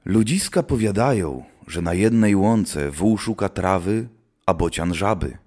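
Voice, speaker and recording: A man's voice: low voice, animated, measured pace, a little mellow. A man playing a grim, seasoned witch hunter who speaks solemnly, like an old-time storyteller, in a scripted audio drama. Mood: grim, reminiscing.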